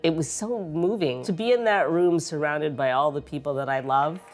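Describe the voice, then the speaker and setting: A woman's voice: sits low, normal speed, faint music behind. A middle-aged woman interviewed on a lapel mic, speaking earnestly and emphatically.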